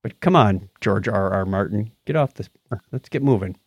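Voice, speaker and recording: A man's voice: fairly low pitch, animated, moderate pace, breathy edge, no echo. A man co-hosting a casual show, bantering in a jokey, chatty way through news items and announcements. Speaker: podcast co-host.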